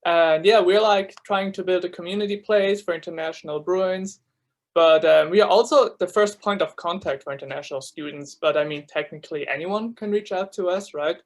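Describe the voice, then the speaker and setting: A young man from Switzerland whose first language is German, giving a casual, filler-heavy talk as a student ambassador over a video call. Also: sits high. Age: young adult. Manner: chatty, many fillers, quick laughs. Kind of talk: casual presentation to new students.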